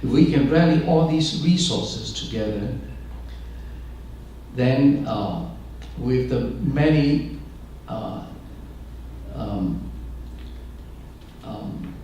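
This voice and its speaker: Slow, halting older man pastor. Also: medium pitch, expressive, warm tone, very echoey, distant mic, a little hiss or hum, low-quality recording. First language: Chinese. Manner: halting, searches for words with many fillers. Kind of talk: speech to an audience. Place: large room with an audience.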